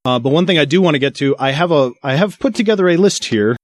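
A man's voice: on the high side, lively intonation, fast, dry recording. A man with an American accent hosting a casual panel discussion on a podcast. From United States. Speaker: podcast host.

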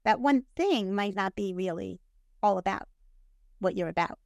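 Lively middle-aged woman agent, dry sound. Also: mid-range voice, normal speed, creaky, no room sound. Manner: energetic, laughs often, says "you know" and "right". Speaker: real estate agent, guest. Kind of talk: casual interview chat.

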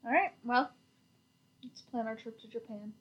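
A woman's voice: on the high side, moderate pace, muffled recording. A woman co-hosting a podcast in casual chat, trading dry, teasing quips. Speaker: podcast co-host.

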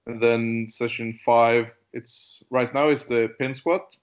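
Slow, halting man from Denmark. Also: medium pitch, steady tone. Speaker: guest powerlifter. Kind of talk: casual interview chat.

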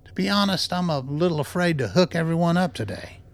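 Guy playing older scientist in an audio drama, voice on the higher side, careful n measured, kinda wry. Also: big pitch swings. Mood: careful, practical. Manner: measured, reflective. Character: thoughtful, cautious aging male scientist, gently wry. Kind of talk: radio play acting.

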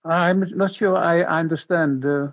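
An older man from Sweden with a Swedish accent, speaking English as a non-native and recounting his life in a halting way, repeating words as he searches for them. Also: fairly high pitch, steady tone, dry recording, lo-fi sound. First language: Swedish and Danish. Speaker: interview guest.

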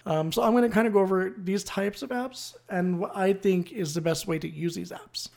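Creaky-voiced casual solo host. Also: mid-range voice, normal speed, darkish tone. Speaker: host of a language-learning series. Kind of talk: casual solo explainer talk.

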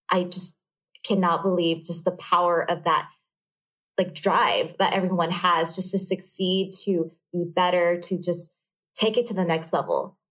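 An American woman from California with a lowish voice, whose first language is Vietnamese. She answers interview questions in a bubbly, chatty way and laughs easily. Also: rough recording. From California, United States. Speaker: MBA student guest.

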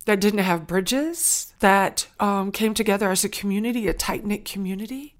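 A woman from Saint Helena Island whose first language is Gullah, telling her story earnestly as an interview guest. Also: medium pitch. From Saint Helena Island, South Carolina. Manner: earnest, heartfelt, with frequent pauses and restarts.

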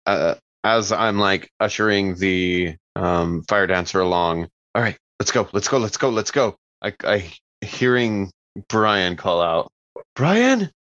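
Low-voiced man, dull dry recording. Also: lively intonation, slow pace, a bit bright, dry recording. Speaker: player in a tabletop roleplaying game. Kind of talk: casual, improvised game play.

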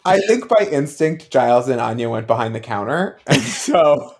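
A man's voice: medium pitch, expressive, normal speed, a little hiss or hum. A man co-hosting a casual podcast chat, speaking in a joking way with wry asides. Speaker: podcast co-host.

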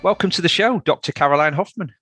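A man's voice: fairly high pitch, quick pace, sharp tone, soft background music. A man with a Yorkshire accent hosting a fitness podcast, chatty and friendly, interviewing a guest. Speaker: podcast host.

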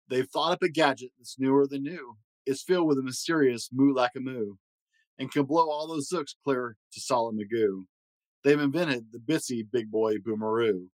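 A man's voice: mid-range voice, dry recording, natural voice, rough recording. A man voicing a confident storybook military chief with hearty, commanding proclamations.

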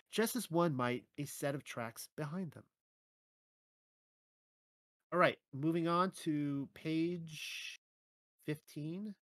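A man's voice: medium pitch, no room sound. Dry, wry man reading his article. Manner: dry, wry asides between scripture quotations. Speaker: religious teacher reading his own articles. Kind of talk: reading and commenting on a written article.

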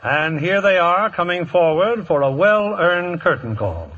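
Old-time radio host guy, sharp bright voice, husky, muffled 50s recording. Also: high voice, sing-song, a little slow. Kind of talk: scripted 1950s radio curtain-call banter. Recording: dull, vintage 1950s radio broadcast.

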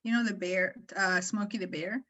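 A woman's voice: mid-range voice, fairly even intonation, moderate pace, fairly bright tone, lo-fi sound. A woman talking casually and candidly with friends, often poking fun at herself. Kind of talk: casual group chat.

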